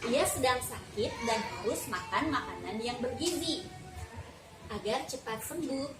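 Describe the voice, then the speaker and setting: Woman doing a polite little girl from a storybook, earnest, high voice, echoey classroom. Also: animated, a little slow, over loud music, a loud crowd. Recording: roomy classroom from far off, background noise.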